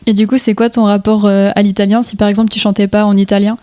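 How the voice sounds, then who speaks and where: A woman interviewing a band for radio, asking relaxed conversational questions. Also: medium pitch, fast talker, no room sound. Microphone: radio studio microphone.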